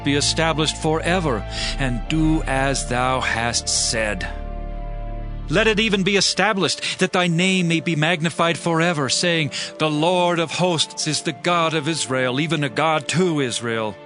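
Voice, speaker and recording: Guy playing devout humble king praying, solemn and measured, higher male voice, music clearly behind him, audio bible drama. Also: fairly bright tone. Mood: reverent, pleading. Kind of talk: dramatized scripture reading, prayer. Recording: background music under the voice.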